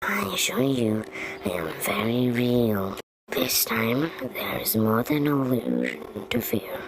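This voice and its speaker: A woman voicing a cruel demonic monster from a horror game, whispery, breathy and ominous. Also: extremely low pitch, sing-song, noisy background, heavily acted. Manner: ominous, deliberate. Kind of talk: fan recreation of horror game voice lines.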